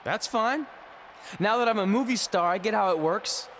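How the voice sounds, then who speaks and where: A man's voice: high voice, moderate pace, a bit bright. A man playing a cocky yet insecure underdog wrestler in a video game, talking in a casual, wisecracking way over background noise. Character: cocky but insecure young male wrestler, the underdog hero.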